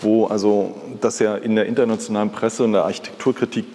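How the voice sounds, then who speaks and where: A man's voice: on the low side, flat delivery, fast talker, mellow, slight background noise. A man in his fifties from East Germany, telling personal stories conversationally as a guest in a talk. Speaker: interview guest, art patron. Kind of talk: reflective personal storytelling in a live talk. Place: large glass-fronted indoor hall. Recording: reverberant hall.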